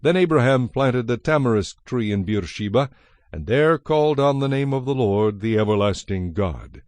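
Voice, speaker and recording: A man giving a measured, solemn narration of scripture as the narrator of a dramatized production. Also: mid-range voice, expressive, no echo. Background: soft music.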